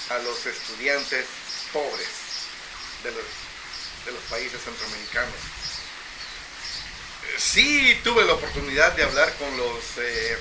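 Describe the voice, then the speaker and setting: A middle-aged man from El Salvador, telling his life story as an interview guest in a reflective, unhurried way, often repeating phrases. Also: mid-range voice, background noise. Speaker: interview guest, agricultural engineer.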